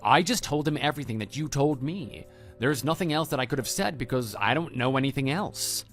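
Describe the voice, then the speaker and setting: A man voicing a stern, principled former schoolteacher in a scripted audio drama, speaking in a measured, matter-of-fact way. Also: mid-range voice, lively intonation, fairly bright tone. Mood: firm, reproving. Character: stern, principled male former homeroom teacher, calm and reproving. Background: background music.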